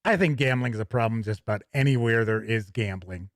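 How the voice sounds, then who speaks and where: A man hosting a tabletop gaming livestream, chatting casually with viewers in a folksy, jokey, animated way. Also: on the low side, fairly quick, no echo, clean studio sound.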